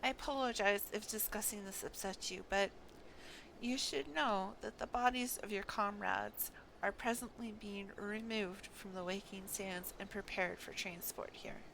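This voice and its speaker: A woman's voice: medium pitch, unhurried, vocal fry, slight background noise, poor audio. Woman reading as a solemn, compassionate fantasy sister. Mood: solemn, consoling. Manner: formal, gentle condolence.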